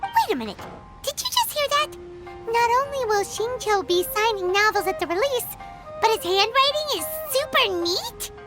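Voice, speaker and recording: A tiny, eager fairy-like companion girl who chatters in a very high, squeaky voice. Her tone bounces up and down constantly as she talks. Game music plays behind her. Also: sing-song, normal speed. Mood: excited. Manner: speaks of herself in third person, eager. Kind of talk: video game dialogue.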